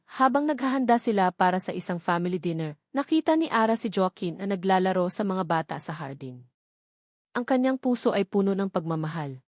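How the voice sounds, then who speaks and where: A Filipino woman narrates a scripted romance story. Her voice sits at an average pitch for a woman. The recording is dry, with no room around the voice. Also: darkish tone, no room sound.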